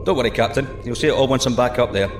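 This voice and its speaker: An actor playing a plucky, excitable male astronaut in a sci-fi audio drama, breezy and cocky between clipped technical reports. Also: mid-range voice, very fast, echoey room, background noise. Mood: breezy, reassuring. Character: plucky, excitable male astronaut piloting a deep-sea microsub.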